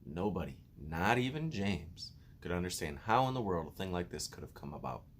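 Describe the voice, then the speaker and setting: A man's voice: low-pitched, big pitch swings, moderate pace, a little hiss or hum. A man who sounds like an encouraging teacher, chatting casually and reading a children's storybook aloud.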